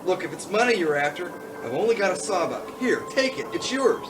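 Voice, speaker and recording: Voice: medium pitch, big pitch swings, a little fast, roomy sound, distant mic, noisy background, close to an everyday voice. A performer playing a hapless, simple, flustered crook who pleads in a radio comedy. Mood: nervous. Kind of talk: old-time radio comedy acting.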